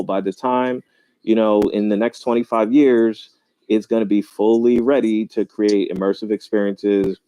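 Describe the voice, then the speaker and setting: A man's voice: fairly low pitch, dark tone, dry recording. A man on a debate panel arguing a skeptical point, searching for words as he goes. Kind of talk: casual debate.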